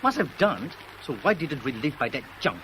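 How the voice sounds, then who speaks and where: An actor playing an agitated, sceptical fugitive, blunt and slangy, in a 1970s radio thriller. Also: male voice, on the high side, big pitch swings, moderate pace. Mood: sceptical, exasperated. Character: agitated, sceptical male fugitive, cocky and exasperated. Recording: noisy old radio drama recording.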